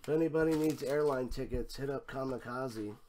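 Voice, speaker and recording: A hoarse man, losing his voice and clearing his throat, hosting a card break and calling out cards one by one. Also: mid-range voice, heavy background noise. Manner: slow, clipped call-outs of cards and prices. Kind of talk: live card break commentary. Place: room with a desk and a banner behind.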